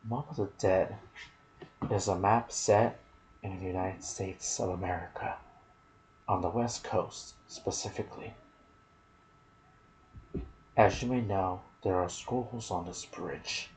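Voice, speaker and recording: Slow, low-voiced man doing ASMR game commentary. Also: steady tone, darkish tone, poor audio. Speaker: video game lore narrator. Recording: clear background noise.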